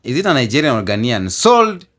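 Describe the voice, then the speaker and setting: A man arguing his point in a casual debate chat, insistent and animated. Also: medium pitch, fairly quick. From Kenya. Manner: insistent, repeats "let me tell you", animated.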